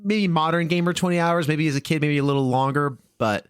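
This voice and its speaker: A man's voice: fairly high pitch, fairly quick, clear, resonant tone, no echo, studio quality. A man around forty hosting a podcast, chatting casually with guests, laughing often and introducing the next segments. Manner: laughs often, enthusiastic reactions. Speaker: podcast host. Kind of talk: casual chat and show introductions.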